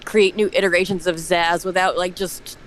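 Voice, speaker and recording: A woman's voice: lowish voice, flat delivery, normal speed, slight background noise. A woman in a casual livestream discussion, giving her opinions in an explanatory way. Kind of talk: casual discussion of comic characters.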